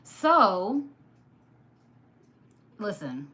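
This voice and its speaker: A woman from Sudan whose first language was Arabic, hosting a casual live stream, chatty and quick to laugh while reading comments. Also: mid-range voice, very animated, bright, forceful tone. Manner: chatty, laughs often, teasing. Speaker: livestream host.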